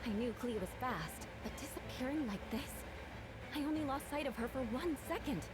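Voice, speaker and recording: A woman's voice: high-pitched, expressive, normal speed, noisy background. An actress playing a proud young female knight in a video game, speaking with cool composure. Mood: worried. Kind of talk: game voice acting.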